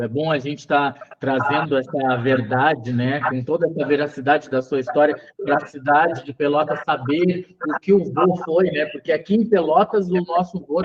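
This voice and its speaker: A man hosting an interview, speaking in a respectful, affirming way with drawn-out phrases. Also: on the high side, breathy edge. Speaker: podcast host, interviewer. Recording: muffled lo-fi recording.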